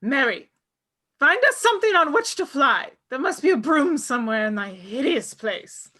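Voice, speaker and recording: A woman's voice: high-pitched, big pitch swings, normal speed, pushed and bright, heavily acted. A woman playing a vain, short-tempered eldest witch sister, theatrical and haughty in her archaic wording, in a script read. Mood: imperious.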